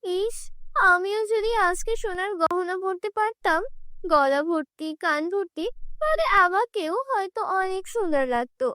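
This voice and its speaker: A woman's voice: very high-pitched, expressive, moderate pace, sharp tone, no echo, clean studio sound, voice pushed up, full character voice. A woman voicing a proud, poor young village bride-to-be in a cartoon fairy tale, plaintive and emphatic. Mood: wistful.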